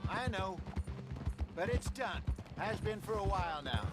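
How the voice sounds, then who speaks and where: An actor playing a patient older American outlaw mentor in a western video game, measured and gently chiding. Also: male voice, high-pitched, lively intonation. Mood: gently reasoning. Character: wise, patient old male outlaw mentor. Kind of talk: cinematic western game acting.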